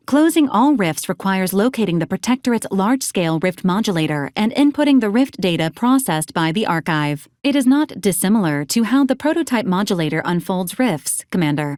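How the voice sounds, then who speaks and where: A woman playing a formal, dutiful female ship's AI in a video game, speaking in a measured, clipped, impersonal way. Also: mid-range voice, darkish tone, no room sound, clean studio sound, lightly acted. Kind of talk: scripted video game voice acting.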